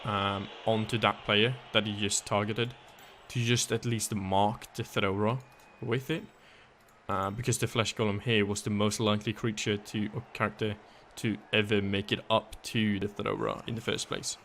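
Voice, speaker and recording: Hoarse, gravelly male game caster. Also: lowish voice, little pitch movement, normal speed, a bit bright, a little hiss or hum. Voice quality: raspy. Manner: analytical, thinking aloud, thanks viewers. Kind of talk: live game commentary.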